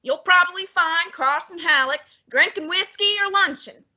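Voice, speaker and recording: A woman's voice: very high voice, normal speed, bright, forceful tone, full character voice. A woman playing a pompous, heavyset older male detective in an old-time radio drama, speaking with haughty, fussy, lofty diction. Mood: imperious.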